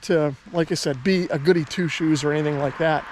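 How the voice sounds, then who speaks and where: A man's voice: on the high side, fairly even intonation, fairly quick, slight background noise. An American man talking casually to viewers as a hobbyist host, explaining his points in an easygoing, conversational way. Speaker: radio hobbyist and video host.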